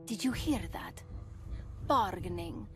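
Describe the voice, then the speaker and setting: Game sidekick, a haughty undead lady: unhurried, swooping intonation, theatrical sarcasm, music underneath. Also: mid-range voice, lively intonation. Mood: sarcastic. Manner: teasing, haughty, theatrical, drawn out. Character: sarcastic, proud ghost woman, loyal undead companion. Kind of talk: dramatic video game acting.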